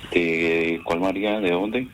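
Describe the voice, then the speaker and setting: A man's voice: low-pitched, steady tone, slow, a little hiss or hum. A man on a phone call, puzzled and often asking the caller to repeat herself. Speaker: call recipient. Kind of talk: phone conversation.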